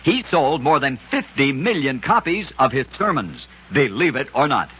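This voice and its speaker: Bright, raspy old-time radio storyteller man. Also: medium pitch, very animated, moderate pace. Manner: dramatic, emphatic storytelling. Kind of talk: scripted old-time radio narration of oddities. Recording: dull lo-fi vintage radio recording.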